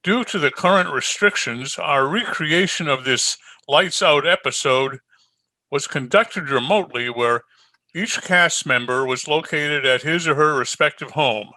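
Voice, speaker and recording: A man's voice: on the high side, a little slow, sharp tone, no echo, poor audio. A man working as a radio announcer, reading scripted programme credits from a room at home. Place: indoors at home.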